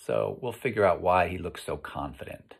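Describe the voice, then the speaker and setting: An American man in his fifties reading a picture book aloud to children in a slow, expressive, encouraging way, pausing to ask them questions. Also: low voice, vocal fry, slightly rough. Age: 50s. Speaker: children's read-aloud host. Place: indoor room at home.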